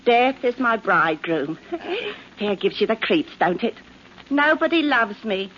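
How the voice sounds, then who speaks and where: Lively, needling London housewife. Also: female voice, a little high, a little slow. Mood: playful. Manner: chatty, needling, colloquial. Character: slovenly, nagging London housewife, teasing and saucy. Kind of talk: 1940s radio acting. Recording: dull, noisy 1940s radio recording.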